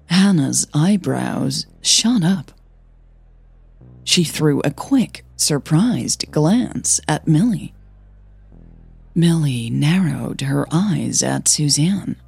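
A woman narrating audio fiction as the host, in a measured, deliberate storytelling manner. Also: low-pitched, expressive, gravelly, a little mellow, breathy edge.

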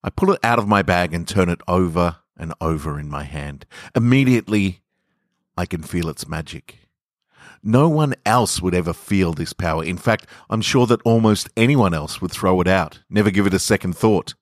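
A man with a deep voice and an Australian accent hosts a storytelling podcast. He speaks close to the microphone, with hardly any room sound around him. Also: expressive, moderate pace, dry recording. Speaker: podcast host and author reading own stories. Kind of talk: casual intro and measured literary story reading. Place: garage.

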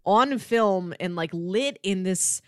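Woman podcast co-host, mid-pitched, conversational and informal, very dry recording. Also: slow, clear, resonant tone, no echo. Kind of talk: casual chat.

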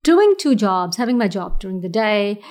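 A woman's voice: medium pitch, very animated, normal speed, darkish tone. A woman from Fiji, a business founder, talking earnestly and reflectively in a casual interview, often restarting her sentences. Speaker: entrepreneur guest.

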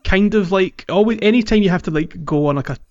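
A man's voice: sits high, quick pace, a little mellow. Man chatting in a roomy, dull recording. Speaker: podcast host. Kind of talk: casual gaming chat. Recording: roomy echo, slightly dull sound.

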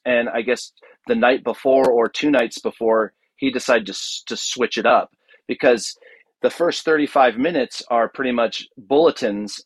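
A man's voice: mid-range voice, no echo, rough recording. A man speaking casually as an interview guest, an enthusiastic storyteller who often stops and restarts his sentences. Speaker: podcast guest, audio drama producer.

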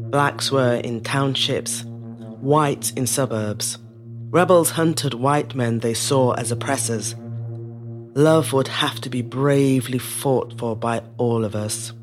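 Middle-aged female storyteller, low register, reflective and lyrical, deliberate phrasing, audio monologue with faint music underneath. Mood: grave. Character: reflective middle-aged Zimbabwean-born woman telling her family's story. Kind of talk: scripted first-person storytelling monologue.

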